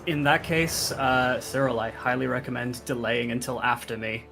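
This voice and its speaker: A man's voice: medium pitch, steady tone, normal speed, creaky, a bit bright, soft background music. A man talking casually as a player during a tabletop game.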